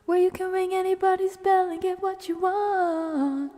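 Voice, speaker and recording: A woman livestreamer casually singing along and making soft, playful chatty asides, sometimes in a whisper. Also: extremely high pitch, steady tone, measured pace. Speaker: livestreaming ASMR creator. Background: music playing from a TV.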